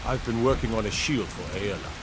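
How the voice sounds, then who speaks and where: Guy doing a gruff honest old smith, fantasy game npc, deep-ish voice, really loud rain. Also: brisk, very noisy, lightly acted. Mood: proud, earnest. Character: gruff, honest old male blacksmith, proud of his craft. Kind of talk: fantasy video game dialogue. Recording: game dialogue under loud rain and storm.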